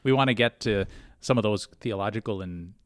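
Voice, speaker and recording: Guy hosting a podcast in a classroom, lowish voice, dry sound, lots of uhs. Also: fairly quick, no echo. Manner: warm, hesitant, many "uh"s. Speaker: podcast host. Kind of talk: conversational interview. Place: college classroom.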